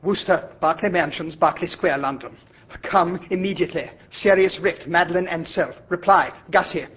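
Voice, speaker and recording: A man's voice: brisk, breathy edge. A man playing an anxious, fussy young man who talks in a clipped, telegram-style way. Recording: dull lo-fi recording with faint rumble.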